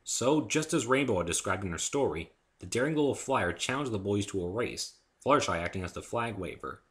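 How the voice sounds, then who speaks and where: A man narrating a first-person story as an expressive dramatic reading. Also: fairly low pitch, fairly quick. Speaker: amateur narrator and author.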